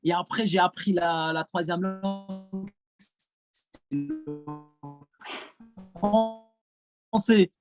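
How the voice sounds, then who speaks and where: A man's voice: sits high, steady tone, very slow, long pauses, loud music behind the voice. A man from Gaza whose first language is Arabic speaks French with an Arabic accent, answering questions at a conference as a French teacher, hesitant and full of repeated words. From Palestine, Gaza.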